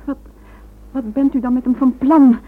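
A woman's voice: sits high, steady tone, normal speed. A woman playing a frightened, protective mother held hostage in a radio play, anxious and questioning. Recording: thin narrow-band old radio drama recording.

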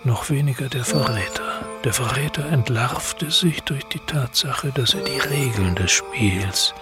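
An actor playing a cryptic, oracular narrator with a creaky voice, speaking deliberately in a radio drama monologue. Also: male voice, mid-range voice, close to an everyday voice. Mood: cryptic, measured. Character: cryptic, oracular male narrator expounding a game. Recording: loud music under the voice.

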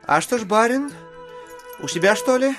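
A man playing a puzzled gentleman visitor in an audio drama, asking questions. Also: very high-pitched, animated, normal speed, music in the background. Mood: puzzled, inquiring. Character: gentleman visitor looking for the master of the house.

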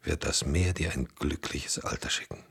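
An actor in a German radio drama playing a weary, grey-haired old hero back from the sea, gravelly and brooding. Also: male voice, a bass voice, moderate pace, in a whisper, full character voice. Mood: solemn recollection. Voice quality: gravelly, husky.

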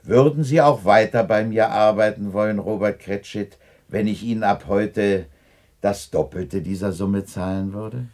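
An actor playing a reformed old miser in a 1960s radio drama, blustery and commanding, bursting with joy. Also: male voice, on the low side, unhurried, a little mellow, close to an everyday voice. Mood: gruffly generous. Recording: noisy 1960s radio play recording.

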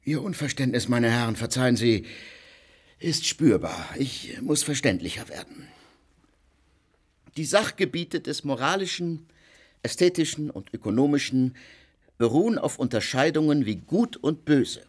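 An actor playing a legal theorist who lectures a circle of gentlemen, emphatic and insistent. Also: male voice, medium pitch, expressive, measured pace, breathy edge. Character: lecturing jurist, cold and insistent. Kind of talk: radio play acting.

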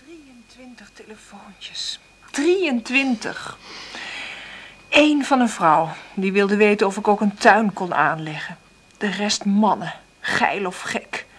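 A woman's voice: medium pitch, lively intonation, slow, slightly rough. An actress playing an anxious single mother in a naturalistic radio play, speaking in a hesitant, faltering way.

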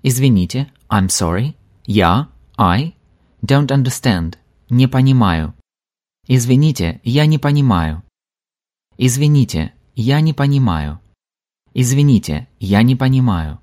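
A male language teacher reads a scripted lesson at a very unhurried pace. His pitch rises and falls in a lively way. He repeats each phrase clearly for learners.

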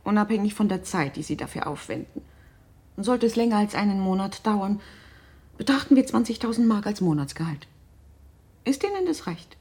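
Husky, reserved wealthy mother. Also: medium pitch, big pitch swings, moderate pace, warm tone. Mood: cool, formal. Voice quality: husky, breathy. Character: worried, reserved wealthy mother of a grown missing son. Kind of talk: naturalistic German radio drama.

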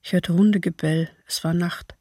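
An actress voicing a traumatised mother who survived a massacre, giving grave, restrained testimony in a radio drama with a husky, creaky voice. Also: on the low side, fairly even intonation, normal speed, darkish tone, no room sound, clean studio sound. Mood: pained, grave.

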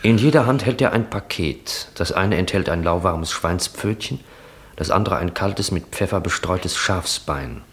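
An actor as the narrator of a German radio drama from the 1960s, delivering literary scene descriptions in a measured way. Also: male voice, low voice, fairly bright tone. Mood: measured, descriptive. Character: narrator describing a grotesque night town.

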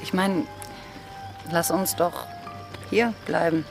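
With music clearly behind, a devoted pirate woman speaks in a gentle, earnest, searching way. She is a tender, weary fighter in a radio play. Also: lowish voice, takes it slowly. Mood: pleading. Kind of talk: German radio drama acting.

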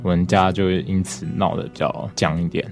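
A man's voice: sits low, level tone, normal speed, faint music behind. A young man with a Taiwanese accent giving casual answers as a student guest in an interview. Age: young adult.

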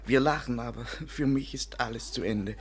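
A husky-voiced male actor as a heartbroken French waiter in an old radio play. He laments with theatrical despair and dramatic pauses, every phrase a small tragedy. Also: mid-range voice, natural voice. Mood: mournful, confused. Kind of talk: 1950s German radio play acting.

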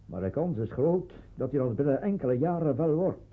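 A husky, worried family father, stern and hesitant, with animated intonation. The radio play sounds thin and tinny. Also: medium pitch. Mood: anxious, stern. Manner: halting, stammering in places. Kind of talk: radio drama dialogue. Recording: tinny band-limited old radio play.

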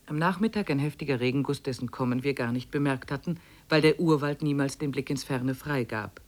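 An actress playing a weary trekker who narrates her expedition diary in measured, literary phrases for a 1950s radio play. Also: very deep voice. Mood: sober, matter-of-fact.

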